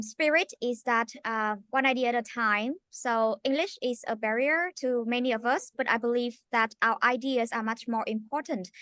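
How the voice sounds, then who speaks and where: A woman hosts an online session in a polite, formal manner. Her high, bright voice sounds dry and close. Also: no echo. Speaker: session host.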